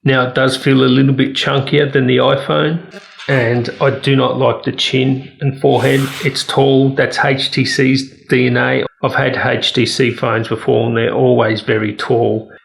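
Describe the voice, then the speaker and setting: A man with noticeable fry in his voice reviews tech in a casual way. He speaks candidly and gives firm opinions at a middling pitch. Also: level tone, moderate pace, slight background noise.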